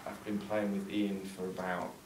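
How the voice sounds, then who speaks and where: A man's voice: low voice, little pitch movement, moderate pace, a little hiss or hum. A young man, a folk musician, telling a self-deprecating story between tunes with chuckles along the way. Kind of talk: casual stage banter between tunes. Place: small arts venue hall with seated audience. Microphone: far camera. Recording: roomy hall from far off, audience.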